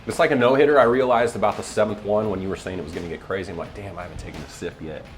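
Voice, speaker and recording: A man's voice: low voice, rapid-fire, soft background music. A man chatting casually as an interview guest, in a laid-back, joking way. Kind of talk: casual chat.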